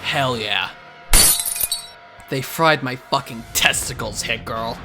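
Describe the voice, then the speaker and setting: A man voicing a battered, foul-mouthed teenage boy superhero in a comic book dub, excitable and dramatic. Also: mid-range voice, slow pace, loud music behind the voice. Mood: dry, determined.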